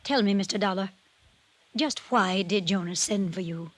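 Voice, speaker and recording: A woman performs a proud, shrewd older American widow in a 1950s radio drama. She is blunt and authoritative at a medium pitch, in a dry acoustic. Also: normal speed, dry recording, close to an everyday voice. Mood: sharp, probing. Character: tough, proud, shrewd old widowed ranch grandmother.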